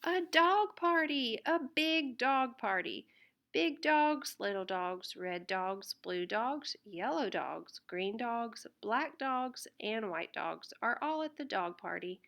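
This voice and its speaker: Slow, higher-pitched woman reading a storybook. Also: expressive, creaky, a bit bright. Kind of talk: read-aloud children's storybook narration.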